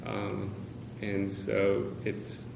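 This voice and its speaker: A man speaking candidly to a meeting of caregivers, hesitant, with many pauses and fillers. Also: lowish voice, monotone, vocal fry. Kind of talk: personal talk to a small group. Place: meeting room with a group of about 45 people. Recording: roomy, noisy meeting-room recording.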